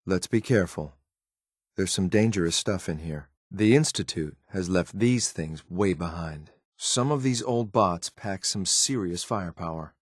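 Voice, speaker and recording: A man's voice: sits low, lively intonation, measured pace, dry recording, studio quality. A man voicing a stern, dutiful synth soldier companion in a video game, speaking in a clipped, matter-of-fact military way with some disdain. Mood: watchful, tactical.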